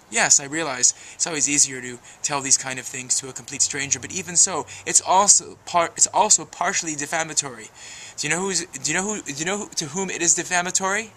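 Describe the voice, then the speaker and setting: A man's voice: a little high, bright, forceful tone, slight background noise. A man giving a wordy, emphatic, preachy advice monologue as a video blogger.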